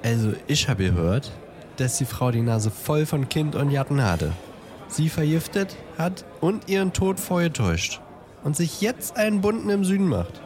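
A man's voice: fairly high pitch, big pitch swings, normal speed, a little mellow. A man playing a cheeky, tipsy pub regular spreading rumours in a scoffing way in an amateur audio drama. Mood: cheeky gossip. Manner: gossipy, scoffing.

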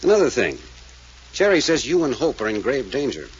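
An actor playing a tough, blunt American detective in 1940s radio style, firing clipped, relentless questions. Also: male voice, mid-range voice, big pitch swings, fairly quick, slightly rough. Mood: pressing, accusing. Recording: muffled, noisy old radio recording.

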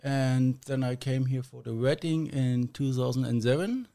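A man from Germany with a German accent, a podcast guest talking in a halting, self-deprecating way with small grammar slips. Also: medium pitch, vocal fry, warm tone. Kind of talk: casual podcast chat.

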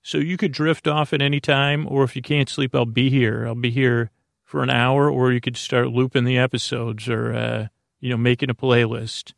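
Middle-aged guy drifting through a bedtime ramble, low gravelly voice, tons of uhs, dry close sound. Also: flat delivery, normal speed, dry recording. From Bay Area, California. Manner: meandering, soft, hesitant with many uhs. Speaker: sleep podcast host.

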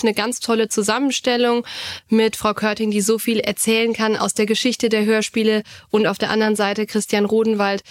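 A woman co-hosting a podcast, speaking articulately and appreciatively in casual conversation. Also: medium pitch. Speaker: podcast co-host.

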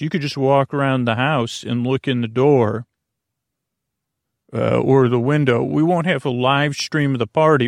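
Creaky-voiced man rambling soothingly, rather deep. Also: no room sound, studio quality. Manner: meandering, hesitant, full of tangents and self-interruptions; soothing, lulling. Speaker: podcast host. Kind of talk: rambling bedtime monologue meant to lull to sleep.

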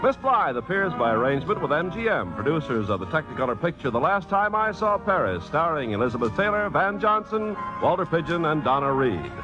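A man's voice: on the high side, big pitch swings, brisk, few pauses. Old-time 50s radio announcer guy, big swooping delivery, muffled recording with music under it.

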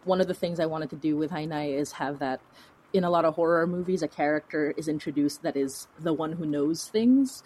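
Low, mellow, slightly husky woman, chatty. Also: a little fast. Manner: animated, chatty, self-interrupting. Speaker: podcast guest, fiction podcast creator. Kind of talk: casual conversational storytelling.

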